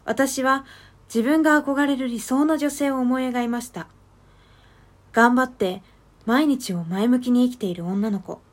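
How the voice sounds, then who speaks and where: A woman playing a sorrowful, self-loathing character in a radio drama monologue, gentle, earnest and confessional. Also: fairly high pitch, a little slow. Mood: wistful.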